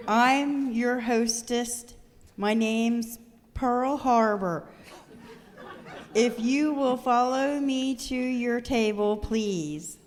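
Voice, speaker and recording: Woman as ghoulish hostess, high-voiced, drawn-out delivery, ceremonious, echoey hall, amateur radio skit. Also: a little mellow, a little hiss or hum. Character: eerie, formal hostess of a ghoulish dining room. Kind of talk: amateur live comedy horror radio skit. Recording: echoey hall, long reverb.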